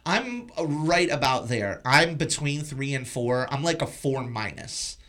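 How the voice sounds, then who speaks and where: A man's voice: mid-range voice, animated, measured pace, a bit bright. A man hosting a podcast, chatting casually and informally about films in a loose conversational way. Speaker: podcast host. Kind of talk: casual film review chat.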